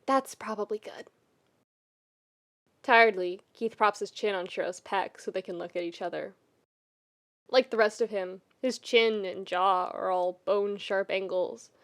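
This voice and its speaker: A woman reading a scripted story as a podfic narrator, with expressive, intimate storytelling. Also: mid-range voice, measured pace.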